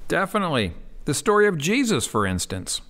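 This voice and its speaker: A man as an animated, earnest youth pastor. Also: fairly high pitch, fairly quick, heavily acted. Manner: reassuring, earnest.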